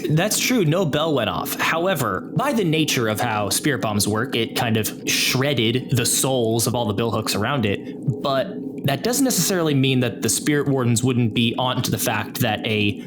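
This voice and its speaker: A man working as game master, narrating an improvised tabletop game in a measured, deliberate way. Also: mid-range voice, animated. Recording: prominent music bed behind the voice. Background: loud background music.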